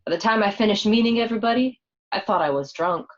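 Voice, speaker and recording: Radio play young wife, woman performer: candid and conversational, defensive under pressure, medium pitch, slightly dull audio. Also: fast, natural voice. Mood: candid, amazed. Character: young married woman, candid narrator, exasperated daughter. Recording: dull, lo-fi home recording.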